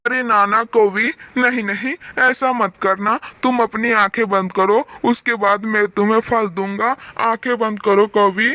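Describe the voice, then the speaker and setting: A woman's voice: a little high, fairly even intonation, measured pace, few pauses, bright, forceful tone, dry recording. Woman as a kindly lonely talking tree, close dry sound. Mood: anxious pleading. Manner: pleading, reassuring. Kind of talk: children's cartoon story acting.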